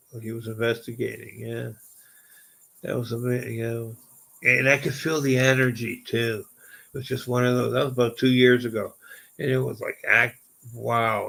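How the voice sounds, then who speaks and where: Gravelly, husky-voiced man chatting live. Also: mid-range voice, rough recording. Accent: Canadian. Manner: rambling, excitable, exclaims often. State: voice going hoarse. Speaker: live stream host. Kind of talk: casual live stream chat.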